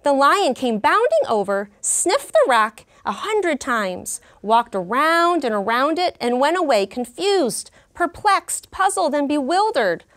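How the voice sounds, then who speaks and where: Expressive woman reading aloud to a class. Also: high-pitched, a little slow, hardly any pauses. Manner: clear, expressive storytelling with word explanations. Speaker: kindergarten teacher. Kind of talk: classroom read-aloud and teaching. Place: elementary classroom.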